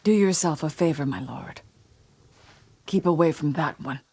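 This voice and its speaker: A woman's voice: low voice, normal speed, warm tone, breathy edge, dry recording. An actress playing a bitter, accusing female innkeeper, a hardened survivor in a dramatic video game. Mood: cold, warning.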